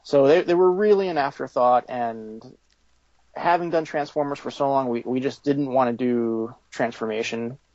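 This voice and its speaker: A man's voice: mid-range voice, moderate pace, a little mellow, no echo. Man in a slightly muffled interview recording. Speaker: toy designer being interviewed. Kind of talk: informal interview answers.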